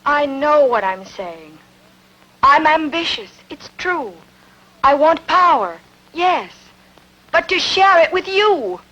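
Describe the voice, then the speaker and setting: Woman playing a haughty, bright-voiced high queen. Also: very animated, takes it slowly, full character voice, low-quality recording. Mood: passionate, pleading. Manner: haughty, dramatic. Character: proud, ambitious, imperious ancient queen in love. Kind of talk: dubbed historical epic film acting.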